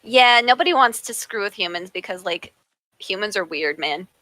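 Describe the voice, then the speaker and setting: High squeaky rough-voiced woman on stream. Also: animated, normal speed, bright, forceful tone, no echo. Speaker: livestream gamer. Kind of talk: casual chat.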